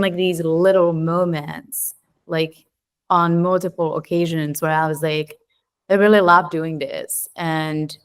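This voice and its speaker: A woman in her thirties with a Czech accent, a podcast guest chatting casually and filling her talk with "like" and "um". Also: fairly low pitch. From Czech Republic. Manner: chatty, many fillers like "like" and "um". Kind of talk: casual interview chat.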